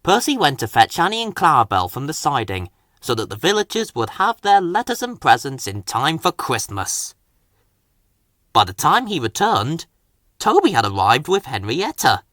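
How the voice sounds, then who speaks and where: A man reading a children's storybook aloud as a scripted narrator, with an expressive, animated delivery. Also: a little high, dry recording, clean studio sound. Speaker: story reader.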